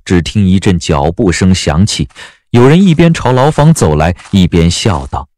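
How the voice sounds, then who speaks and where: A man narrating a dramatic audiobook as a measured, expressive storyteller. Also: low-pitched, no room sound.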